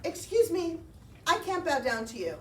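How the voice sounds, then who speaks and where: A woman plays a kindly, principled storybook uncle, plain and reassuring. The voice is high and expressive, heard in an echoey room. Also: normal speed. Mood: firm. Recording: roomy, distant mic, faint noise.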